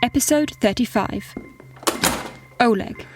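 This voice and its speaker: A woman's voice: on the high side, slow pace. A woman announcer reading scripted titles and credits for a show. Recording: clear music behind the voice.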